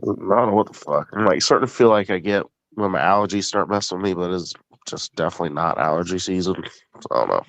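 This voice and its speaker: A man's voice: low-pitched, lively intonation, fast, a bit bright, dry recording, low-quality recording. A man chatting casually on a livestream, sounding stuffed up and congested, swearing now and then.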